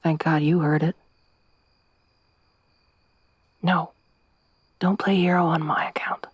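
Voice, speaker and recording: A woman voicing a tough young woman survivor around thirty in an ASMR roleplay, soft-spoken with dry humor. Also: low-pitched, fairly even intonation, normal speed, husky, no echo. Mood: hushed. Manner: hushed whispering when hiding.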